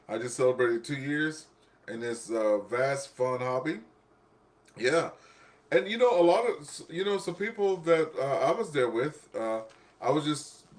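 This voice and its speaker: A man hosting an amateur radio net in a casual, rambling, chatty way, often repeating himself. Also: medium pitch. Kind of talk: casual ham radio chat.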